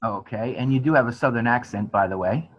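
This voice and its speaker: A man's voice: medium pitch, brisk. A man with a New Jersey accent teaching an informal online class in a chatty, encouraging way. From New Jersey, USA. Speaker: college instructor. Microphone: online class call. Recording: dull, band-limited online class audio.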